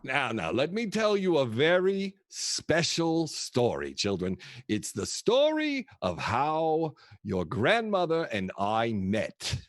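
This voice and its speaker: A man's voice: a little high, wildly swooping pitch, takes it slowly, few pauses, no room sound, clean studio sound, full character voice. An actor playing a kooky, affectionate old grandfather who rambles and mutters theatrically in a comic play. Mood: storytelling, wry. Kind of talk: comic stage monologue and dialogue.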